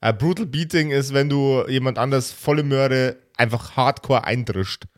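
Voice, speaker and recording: A man's voice: medium pitch, normal speed, dry recording, clean studio sound. A man improvising narration as the game master of a tabletop game. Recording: clear background noise.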